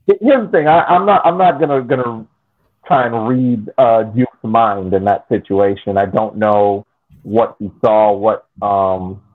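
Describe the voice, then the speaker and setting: A man in a casual sports chat on a video call, speaking in a halting, deliberate way. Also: lowish voice. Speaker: podcast panelist. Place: indoor room, remote video call. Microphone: video call microphone. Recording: dull lo-fi call audio.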